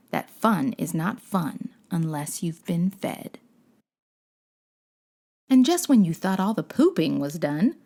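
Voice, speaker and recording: A woman's voice: lowish voice, gravelly, mellow. A woman reading a children's storybook aloud as host, with dramatic, playful emphasis. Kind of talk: expressive scripted storybook narration.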